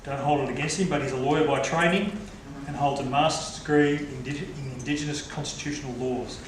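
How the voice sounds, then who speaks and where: A man's voice: fairly high pitch, steady tone, brisk. A man moderating a forum, chatty, with light asides to the audience. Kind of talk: public speaking at a forum. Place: lecture hall. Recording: echoey hall from far off, noisy.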